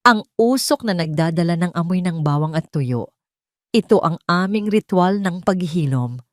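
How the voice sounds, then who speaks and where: A woman's voice: on the low side, a little mellow, no echo, clean studio sound. A woman reading a first-person dramatic story in a solemn, sentimental storytelling manner. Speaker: audio story narrator.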